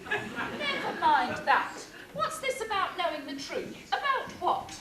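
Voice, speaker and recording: A woman's voice: very high voice, very animated, slow, pushed and bright, a faint crowd, exaggerated voice. A woman playing a blustering, easily exasperated royal ruler in a stage pantomime. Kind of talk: broad pantomime stage acting. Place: theatre stage during a live amateur show. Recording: noisy echoey stage recording from afar.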